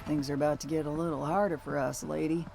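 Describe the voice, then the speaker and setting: An actress playing a weary, guarded bounty hunter in a video game, dry and plain-spoken, with a gravelly voice. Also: female voice, deep voice, fairly even intonation, fast, music in the background. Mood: weary, troubled. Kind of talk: naturalistic video game acting.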